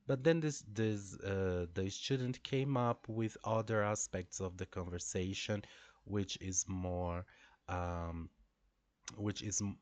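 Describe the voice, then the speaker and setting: Slow, hesitant Brazilian man. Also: low voice, no echo. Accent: Brazilian Portuguese. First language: Portuguese. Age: adult. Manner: hesitant, many fillers and restarts. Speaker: English teacher. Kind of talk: reflective solo talk.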